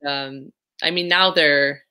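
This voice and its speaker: A young woman from Canada answers interview questions over a video call. Her voice sits low yet rings bright and forward, and she talks in a chatty, easy way, laughing now and then. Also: fairly even intonation, takes it slowly, clean tone. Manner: chatty, laughs between phrases. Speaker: guest, pro boxer. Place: small room indoors. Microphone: video call, laptop mic.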